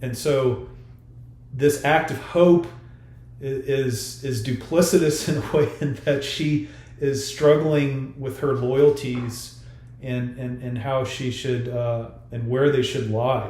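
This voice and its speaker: A man's voice: mid-range voice, a little mellow. Man in an echoey room, explaining thoughtfully. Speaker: author being interviewed. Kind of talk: podcast interview about a novel. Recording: roomy, echoey sound.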